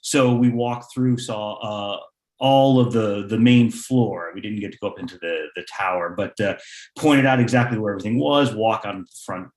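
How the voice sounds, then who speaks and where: A man speaking as a playwright on a panel over a video call, telling stories in a halting, conversational way. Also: fairly low pitch, darkish tone, echoey room.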